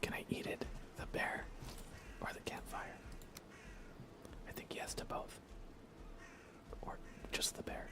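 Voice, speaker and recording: A man whispering soft, breathy ASMR commentary while livestreaming a video game, often muttering short repeated phrases. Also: slow pace, pauses often.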